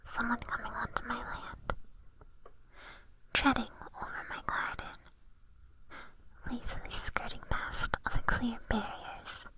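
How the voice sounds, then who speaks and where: A woman voicing a sly, haughty evil witch in an ASMR roleplay, in an unhurried, condescending, breathy whisper with soft menace. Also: a little high, flat delivery, low-quality recording. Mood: haughty, scolding.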